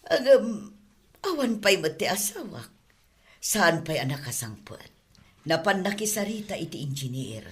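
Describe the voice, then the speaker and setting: A woman's voice: lowish voice, sing-song, a little slow. A woman with an Ilocano accent acting a hospitable, chatty employer's wife in an audio drama. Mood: welcoming.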